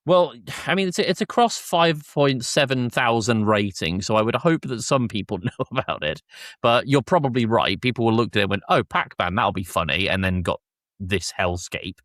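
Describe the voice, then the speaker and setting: A man's voice: medium pitch, lively intonation, normal speed, a bit bright, no room sound, studio quality. A man hosting a casual review podcast, talking in an explanatory way and often correcting himself as he goes. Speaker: podcast host. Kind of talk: casual review chat with plot summaries.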